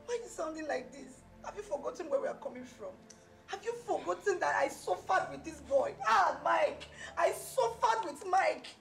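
Scorned young Nigerian lover: high-pitched, crackly, dramatic and desperate. Melodrama film, clear music underneath. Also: female voice, lively intonation, fast talker, few pauses, dark tone. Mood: anguished, indignant. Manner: dramatic, pleading, repeats words. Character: scorned, desperate, tearful young woman pleading for her ex-lover.

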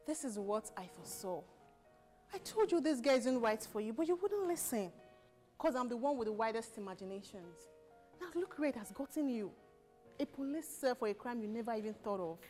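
Woman acting a high-voiced, scolding protective sister. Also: expressive, brisk, warm tone, soft background music, natural voice. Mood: bitter, scolding. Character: protective, scolding woman, loudly defiant about her brother. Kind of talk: screen drama acting.

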